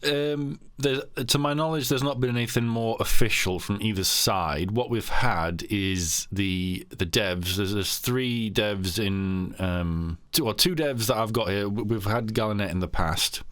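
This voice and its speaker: A man with a very deep voice hosts a casual podcast. He rambles, restarting sentences and filling gaps with ums. The sound is dry and close. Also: measured pace, hardly any pauses, fairly bright tone, dry recording. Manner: rambling, many restarts and fillers. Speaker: podcast host.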